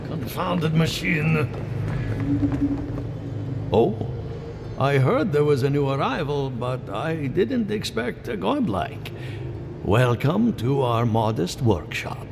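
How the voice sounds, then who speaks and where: Animated, slow-paced male researcher. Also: on the high side, heavily acted. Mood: grumbling, welcoming. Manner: expressive, theatrical. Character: fussy, brainy male animancer researcher in a workshop. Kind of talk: video game voice acting. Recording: long reverb, clear background noise.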